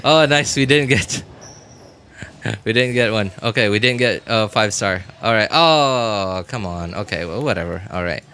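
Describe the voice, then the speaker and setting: A man chatting casually as he streams a game, rambling and halting as he hunts for words in a self-deprecating way. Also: lowish voice, bright, forceful tone, a little hiss or hum. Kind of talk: casual livestream chat while gaming.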